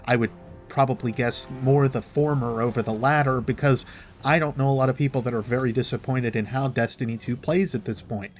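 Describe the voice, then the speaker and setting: A man's voice: mid-range voice, steady tone, a little fast. A man delivering an opinionated, wordy monologue as a gaming commentator, talking in a semi-improvised way. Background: background music.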